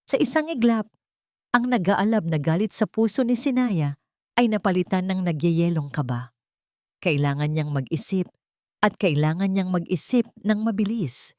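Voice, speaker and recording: A woman reads a scripted love story as narrator, in a measured, dramatic storytelling manner. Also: fairly low pitch, expressive, a little mellow, dry recording, studio quality.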